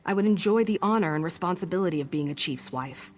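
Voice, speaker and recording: An actress playing a young orc chief's daughter in a video game, earnest and measured, torn between duty and longing. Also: female voice, fairly low pitch, animated, close to an everyday voice. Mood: yearning. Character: young orc chief's daughter, dutiful but yearning for freedom. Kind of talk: video game dialogue acting.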